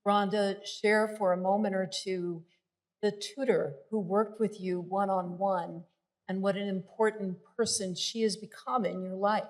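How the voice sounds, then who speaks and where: A woman's voice: mid-range voice, fairly even intonation, measured pace. A woman hosting a live event, affirming the speakers and inviting them to share. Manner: encouraging, affirming. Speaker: event host and interviewer. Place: event hall. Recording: long hall reverb.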